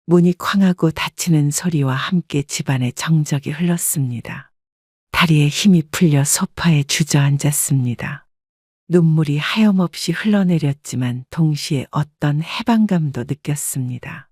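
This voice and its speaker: Female audiobook narrator, even and measured, dry studio read. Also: deep voice, no echo. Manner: steady, even-paced storytelling. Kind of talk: scripted first-person story narration.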